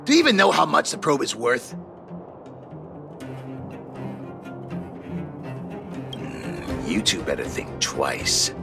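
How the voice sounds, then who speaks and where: An actor playing a greedy petty thief in a video game, theatrical, taunting and wheedling. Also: male voice, medium pitch, huge pitch swings, moderate pace, gravelly, over music. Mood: menacing, scornful. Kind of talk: animated video game voice acting.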